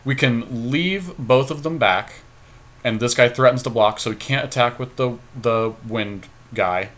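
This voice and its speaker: A man's voice: medium pitch, a little slow, a bit bright. A man giving casual game commentary as a co-host, thinking aloud in an analytical way. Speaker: podcast co-host playing a card game.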